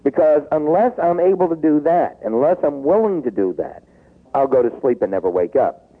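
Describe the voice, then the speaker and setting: A man in his sixties talking in a taped interview, acting out quoted pleas and dropping low when he turns reflective. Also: expressive, normal speed. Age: 60s. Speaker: business author guest. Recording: tinny phone-like tape.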